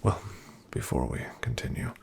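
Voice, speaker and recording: A man in an ASMR roleplay voicing a calm, careful paladin travel companion, softly whispering to the listener. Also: normal speed, close to an everyday voice. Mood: calm hushed. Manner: soft, gentle whispering to the listener.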